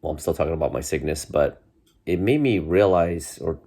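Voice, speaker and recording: A man's voice: moderate pace, mellow. A man in his forties, congested from a cold, chatting casually in a rambling way to his viewers from his bedroom. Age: 40s. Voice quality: deep voice. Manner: rambling, many restarts and fillers. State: congested, getting over a cold. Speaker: vlogger. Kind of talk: casual chat to camera. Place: bedroom, indoors.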